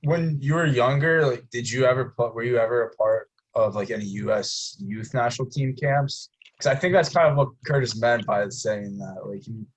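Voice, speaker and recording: A man's voice: medium pitch, moderate pace, breathy edge, poor audio. A man in his early twenties co-hosting, asking questions hesitantly with restarts. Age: 20s. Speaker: podcast co-host. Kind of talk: casual interview chat.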